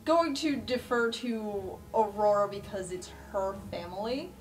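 A woman's voice: mid-range voice, unhurried. A woman playing a tabletop roleplaying game, speaking casually as she improvises. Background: music.

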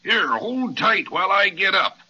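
Fee-Fo the gentle giant, rumbles along slowly with a bright, slightly husky tone and lively swings of pitch. The voice is a kindly 1930s radio-drama giant. It comes through a muffled old transcription recording. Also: male voice, heavily acted. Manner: slow, warm, drawn-out giant voice. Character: gentle kindly male giant, slow and friendly.

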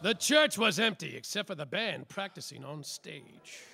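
An actor playing a world-weary, boozy, sarcastic private detective in a slapstick noir radio mystery, with a wry, dramatic delivery. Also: male voice, a little high, lively intonation, moderate pace, vocal fry, sharp tone, husky, soft background music, heavily acted. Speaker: detective and narrator.

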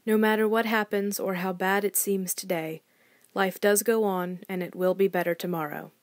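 A woman reads scripted podcast announcements. Her voice sits at a medium pitch, and the recording is dry, with very little room sound. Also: fairly even intonation, normal speed, no room sound. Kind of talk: scripted narration.

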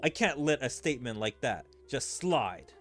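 A man's voice: medium pitch, very animated, moderate pace, faint music behind, close to an everyday voice. A man voicing a loyal senior vassal from a game, formal, deferential and protesting. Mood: indignant. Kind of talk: reading game dialogue aloud.

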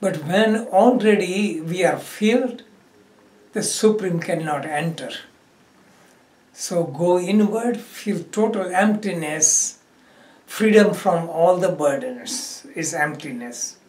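A man teaches guided meditation at an extremely slow pace. He speaks instructively in short phrases separated by pauses. The recording is echoey, with the microphone some distance away. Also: high-pitched, darkish tone. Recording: roomy echo, distant microphone.

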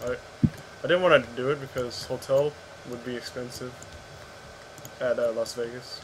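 Casting: American male gamer, average pitch, informal slangy chatter, clearly noisy online voice connection. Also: fairly even intonation, moderate pace. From Northern California. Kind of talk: casual gamer voice chat. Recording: noisy online gaming voice chat.

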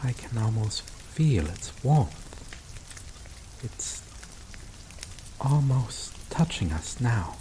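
A man's voice: mid-range voice, rough recording. Man playing obsessive yandere lover, rain behind. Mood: obsessive, tender. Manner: slow, soft-spoken, dwelling on words with dark chuckles. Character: obsessive possessive male yandere lover, doomed and devoted. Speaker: ASMR roleplay voice actor. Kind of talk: ASMR roleplay. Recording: steady rain noise behind voice. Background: rain falling.

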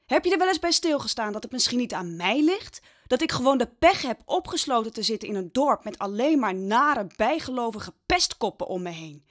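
A woman's voice: on the high side, big pitch swings, brisk, few pauses, fairly bright tone. An actress playing a proud, stubborn young peasant woman in an audio drama, headstrong and sarcastic. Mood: indignant. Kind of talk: Dutch audio drama acting.

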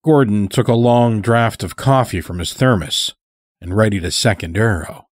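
A man hosting and narrating a horror fiction podcast, reading from a script in a measured, deliberate way. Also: fairly low pitch, no echo, clean studio sound. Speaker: podcast host and story narrator.

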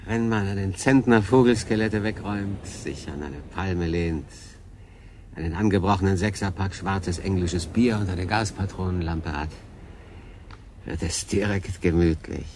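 A low-voiced, brooding castaway sailor. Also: male voice, unhurried, darkish tone, a little hiss or hum. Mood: wry, weary. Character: stranded solo sailor, male, brooding, conflicted. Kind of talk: German radio play acting.

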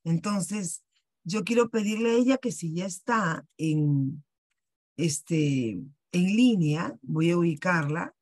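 Husky-voiced woman pitching on a video call. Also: slow pace, a little mellow, no echo, studio quality. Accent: Peruvian Spanish. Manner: persuasive, asks rhetorical questions. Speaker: wellness workshop host. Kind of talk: sales presentation on a video call.

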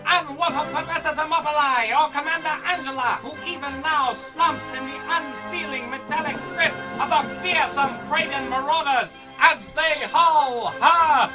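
A woman's voice: high-pitched, normal speed, hardly any pauses, over music, natural voice. A woman playing a melodramatic announcer, narrating an old-time radio cliffhanger serial. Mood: grandly suspenseful.

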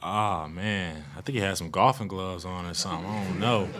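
A young man, a college football player, answering questions at a postgame press conference in a relaxed, joking way, with lots of "you know". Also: sits low, moderate pace, crowd noise. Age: young adult. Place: press room in front of a logo backdrop. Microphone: table mic on a stand.

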